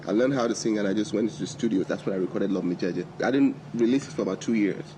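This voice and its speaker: A man with a lower voice talks fast, telling his story in an interview. Clear street noise and passing vehicles sit behind him. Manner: quick, storytelling. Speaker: singer being interviewed. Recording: noisy street audio.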